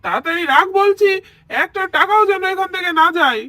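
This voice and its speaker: A woman's voice: very high voice, animated, brisk, very noisy. A woman voicing a greedy, bossy, scheming man in a children's doll story. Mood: bossy, demanding. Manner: bossy, insistent.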